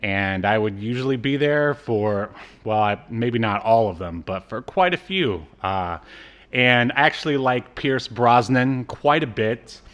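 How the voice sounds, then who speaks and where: Man, podcast host, mid-range pitch, casual conversational banter, playful delivery. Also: lively intonation, measured pace, a bit bright. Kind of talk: casual banter about old cartoons.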